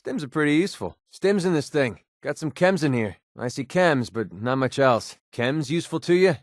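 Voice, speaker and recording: An actor voicing a wry, prickly young mercenary sniper with a hidden soft side in a video game, sarcastic and conversational. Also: male voice, fairly high pitch, normal speed, no echo, clean studio sound, natural voice. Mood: casual. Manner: sarcastic, conversational, emotionally expressive. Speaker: video game companion character. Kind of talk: naturalistic video game voice acting.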